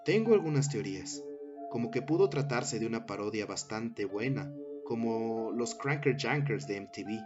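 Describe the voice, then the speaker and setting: A man narrating a scripted horror story in a measured, dramatic storytelling manner. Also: mid-range voice, expressive. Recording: dull sound under loud music bed. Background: loud background music.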